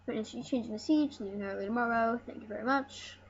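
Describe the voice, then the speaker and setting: Female let's play host, higher register, rambling and jokey, sweary at times, on a dull, slightly noisy mic. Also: fast. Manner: joking, rambling, casually sweary. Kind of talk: casual gameplay commentary, reading game dialogue aloud. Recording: muffled lo-fi mic with background noise.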